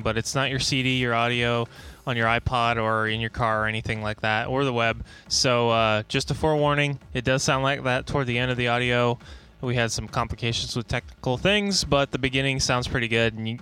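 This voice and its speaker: An American man with a bright, forward voice at a middling pitch talks casually as a podcast co-host. His delivery is informal and wanders as he goes. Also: clean tone. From United States. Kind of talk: casual conversational podcast talk.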